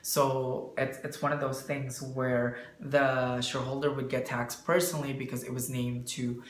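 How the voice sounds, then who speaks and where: Halting man explaining in an echoey room. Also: mid-range voice, flat delivery. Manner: halting, searching for words. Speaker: bookkeeper answering questions. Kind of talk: explanatory business interview. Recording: echoey room, faint background noise.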